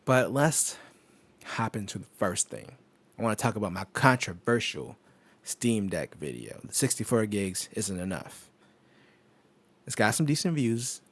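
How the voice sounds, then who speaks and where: Low-voiced man rambling on a live stream. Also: very animated, measured pace. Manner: rambling, laughs often, many fillers and restarts. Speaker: tech streamer. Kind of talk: casual live stream chat. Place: home desk setup. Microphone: microphone on an arm through an audio interface.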